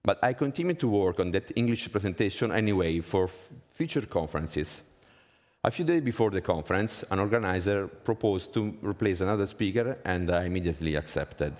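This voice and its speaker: Low-pitched Italian man in a reverberant hall. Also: animated, brisk, a little mellow. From Italy. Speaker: conference speaker. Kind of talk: prepared conference talk. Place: conference hall stage, indoors.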